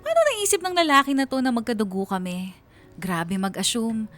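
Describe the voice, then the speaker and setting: A woman's voice: fairly high pitch, normal speed, fairly bright tone, faint music behind, natural voice. An actress playing a young college woman narrating her love story in a sentimental, conversational Filipino radio drama. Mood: irritated.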